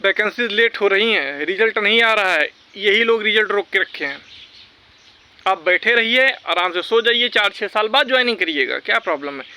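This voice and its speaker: A man's voice: high-pitched, lively intonation, moderate pace, sharp tone. A man talking informally and with strong opinions straight to his viewers, exhorting them and repeating his points for emphasis. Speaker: vlogger giving recruitment exam updates.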